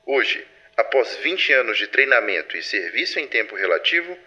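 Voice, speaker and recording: A man plays the superintendent of a secret supernatural patrol in an audio drama. He tells his files with grave composure in a mid-pitched, crisp-edged bright voice, and his intonation moves widely. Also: sing-song, normal speed, dull audio. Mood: grave, composed. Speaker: narrator. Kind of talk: scripted audio drama narration.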